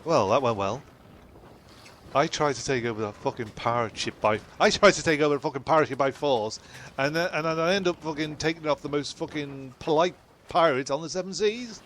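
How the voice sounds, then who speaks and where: A man playing a stubborn, blustering castaway in an amateur comedy audio drama, repeating himself haltingly and swearing. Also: fairly high pitch, fairly bright tone, a little hiss or hum, close to an everyday voice. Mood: exasperated. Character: blustering, stubborn would-be hijacker castaway called John.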